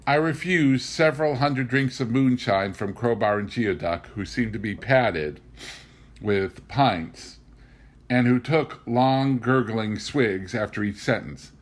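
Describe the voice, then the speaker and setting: A man reading a memoir novel aloud in an expressive, scripted storytelling style. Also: lowish voice. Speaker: reader of an audiobook.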